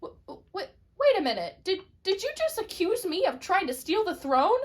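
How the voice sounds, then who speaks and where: A woman's voice: extremely high pitch, very animated, normal speed. A woman playing a nervous, defensive, stammering royal adviser, a man, in a comic amateur puppet show. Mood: flustered protest.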